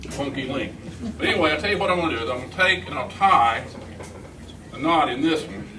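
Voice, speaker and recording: A man's voice: fairly high pitch, animated, brisk. A man doing a magic act live on stage, talking his way through it in casual, teasing, improvised patter. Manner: joking, teasing. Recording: echoey, noisy live room, distant mic.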